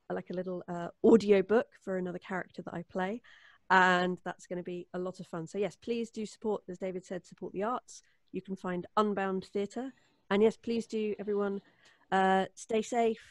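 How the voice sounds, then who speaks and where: A woman's voice: mid-range voice, darkish tone, dry recording. A woman with an English accent, a performer, talking casually and informally to viewers on a live stream. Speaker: voice actor and podcast performer. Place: indoors at home, remote group call.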